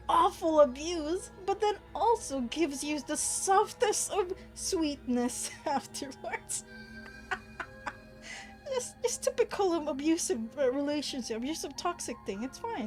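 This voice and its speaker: A high-pitched woman streamer with a bouncy, lively voice, chatting slowly and playfully and giggling between lines. Soft game music sits underneath her voice. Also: rough recording. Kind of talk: casual gaming stream chat.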